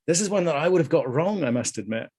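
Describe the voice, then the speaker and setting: A man hosting a live online quiz, reading out questions and answer options in a measured way. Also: a little high, animated, a little mellow, slightly rough. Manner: reads options aloud in a measured list. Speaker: quiz host at an online conference.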